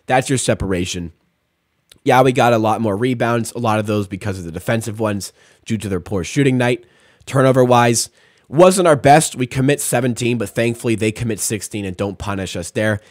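Young man, sports stream host, rattling off stats, close boom mic, dry small room. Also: fairly quick, no room sound. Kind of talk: casual post-game breakdown. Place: small room at home, indoors. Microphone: studio mic on boom arm, close.

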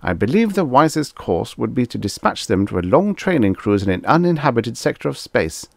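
A man's voice: mid-range voice, big pitch swings, brisk, gravelly, no room sound, voice pushed up. A man voicing a pompous, easily panicked ambassador, barking and indignant in a science fiction audiobook. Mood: blustering. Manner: barking, indignant, self-important.

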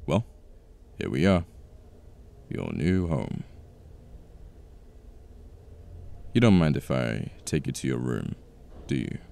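A man acting a possessive yet protective mafia boss in an ASMR romance roleplay, with a British accent, a deep gravelly voice and an intimate manner full of sighs and pauses. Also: big pitch swings, warm tone, exaggerated voice. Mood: teasing, welcoming. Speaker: voice actor. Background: birdsong, outdoor ambience effects.

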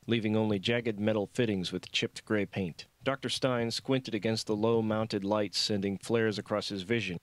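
A man's voice: on the low side, dry recording. A man reading his own novel aloud as scripted audiobook narration.

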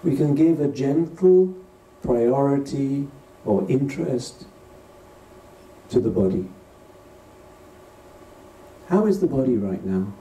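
Very soft, dark-voiced man guiding meditation slowly. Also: mid-range voice, lively intonation. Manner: gentle, unhurried, pausing between short phrases. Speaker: meditation teacher. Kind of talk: guided meditation. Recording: distant roomy recording with faint noise.

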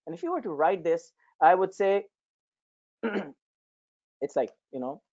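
Casting: young man, native Urdu speaker, instructor, chatty and halting, lo-fi webcam sound. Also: fairly high pitch, expressive, mellow. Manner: conversational, many fillers and restarts. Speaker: Arabic instructor. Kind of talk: informal lecture with personal story. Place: small room indoors, talking over a shared screen.

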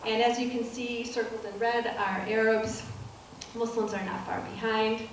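Soft-spoken woman lecturing in an echoey hall. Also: on the high side, flat delivery. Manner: soft-spoken, measured, with frequent ums and restarts. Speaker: professor giving a public talk. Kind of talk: lecture to an audience. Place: dark auditorium stage with projector screen. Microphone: handheld mic, recorded from a far camera. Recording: distant, echoey hall with room noise.

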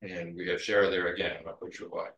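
A man chairing a city board meeting, easygoing and brisk, often repeating his words. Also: low voice, flat delivery, gravelly, a bit bright. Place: council meeting room. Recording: echoey room, muffled sound.

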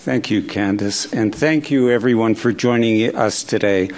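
A man with a lowish voice delivers formal prepared remarks at a steady pace as the event host. He is speaking in a conference hall. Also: normal speed. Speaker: health authority executive, event host.

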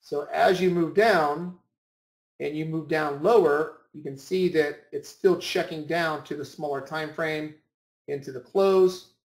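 A man's voice: a little high, unhurried. A man giving an informal screen-share lesson as an instructor, often repeating and rephrasing his points. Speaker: trading room instructor. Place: indoor room, voice over screen share. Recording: roomy, echoing voice recording.

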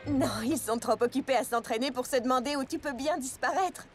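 Woman as sensible young cartoon pony, high voice, earnest, measured, gently chiding, music underneath. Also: natural voice. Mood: pointed. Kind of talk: French cartoon dub acting. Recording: music bed under the dialogue.

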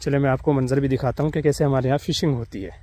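A man's voice: mid-range voice, fairly even intonation, racing, mellow. A young man vlogging outdoors on a phone held at arm's length, politely telling viewers what he is showing them. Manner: polite, addresses viewers directly. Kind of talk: casual vlog narration. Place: outdoors in the village. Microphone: phone at arm's length, selfie view.

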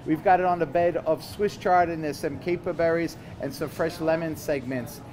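A man in his fifties, a chef being interviewed, explaining things conversationally and haltingly, often repeating words. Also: fairly high pitch, fairly even intonation, background noise. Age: 50s. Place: store counter indoors.

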